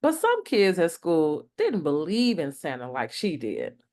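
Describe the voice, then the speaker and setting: A woman reading a children's picture book aloud as a storytime host, expressive and deliberate in her phrasing. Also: low-pitched.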